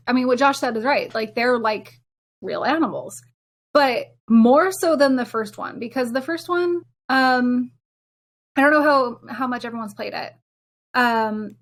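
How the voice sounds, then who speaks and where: A woman's voice: fairly high pitch, fairly bright tone. A woman chatting casually about her hobby as a podcast panelist, informal and enthusiastic with dramatic exaggeration. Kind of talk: casual, animated gaming chat.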